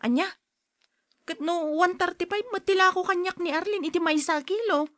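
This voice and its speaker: A woman's voice: very high voice, normal speed, fairly bright tone, no echo, studio quality. A woman with an Ilocano accent playing a chatty neighbour out shopping in a family drama, conversational and questioning. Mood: puzzled. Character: chatty female neighbour shopping at a small store. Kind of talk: radio-style family drama acting.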